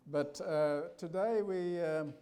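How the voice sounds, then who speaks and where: An older man with a South African accent giving a testimony talk in church, pausing often with fillers and restarts. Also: on the high side, dark tone, a little hiss or hum. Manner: halting, many fillers and restarts. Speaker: guest preacher.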